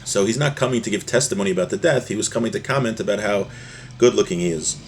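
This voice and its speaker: A man's voice: lowish voice, fast, a little hiss or hum. A man giving an informal religious text lesson as a teacher, reading and explaining in a conversational way. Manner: conversational, explanatory, acts out short examples. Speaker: Torah teacher.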